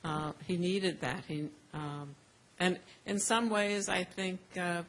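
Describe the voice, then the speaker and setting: A woman's voice: on the low side, takes it slowly, a bit bright, rough recording. A woman on a discussion panel, speaking reflectively and personally with some fillers.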